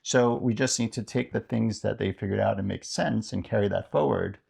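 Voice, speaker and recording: A man with a low, gravelly voice talks on a podcast as co-host and guest author. His delivery is casual and reflective, halting as he works out his thoughts aloud. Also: a little mellow.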